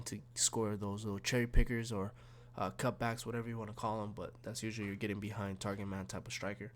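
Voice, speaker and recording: A gaming YouTuber man with a low voice, a little raspy and snuffly from being ill. He rambles through his game tactics in an easygoing way, full of fillers and restarts, with a faint hum behind him. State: sick, slightly raspy and snuffly. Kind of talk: casual tactics walkthrough.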